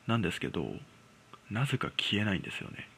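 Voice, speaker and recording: A man's voice: lowish voice, big pitch swings, fast talker, creaky, sharp tone, in a whisper. A man casually telling a ghost story in a conversational, hesitant way, acting out people's reactions as he goes. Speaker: storyteller host.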